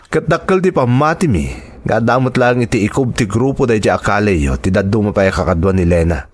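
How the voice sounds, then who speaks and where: A steady officer of the law in an Ilocano radio drama. His voice is on the low side, with an Ilocano accent. He speaks in a formal, measured, reassuring way. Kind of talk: Filipino radio drama acting.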